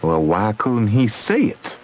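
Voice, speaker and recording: Homespun stubborn Southern man, very animated, emphatic folksy arguing, muffled noisy old radio recording. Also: fairly low pitch, slow, heavily acted. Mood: puzzled, stubborn. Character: Jim, stubborn, homespun grown man arguing his own logic. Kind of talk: old-time radio drama acting.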